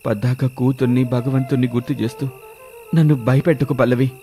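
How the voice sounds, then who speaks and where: A man's voice: medium pitch, steady tone, fairly quick, warm tone, faint music behind, natural voice. A man voicing a drunken, defensive husband in a moral story, with blunt colloquial speech. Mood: pleading. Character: gruff drunken husband, defensive, later remorseful. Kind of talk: Telugu moral story dialogue.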